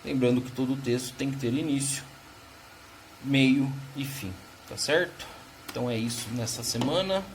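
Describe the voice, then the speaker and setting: A man's voice: medium pitch, moderate pace, background noise. A Brazilian man teaching a recorded history lesson, explaining patiently and often checking in with his students. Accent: Brazilian Portuguese.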